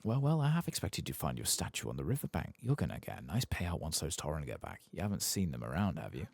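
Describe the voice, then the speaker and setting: A man voicing a folksy, chatty frontier quest-giver from a fantasy game, soft-spoken and easygoing. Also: a bass voice, huge pitch swings, fast, creaky, no room sound, exaggerated voice. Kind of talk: reading game quest dialogue in character.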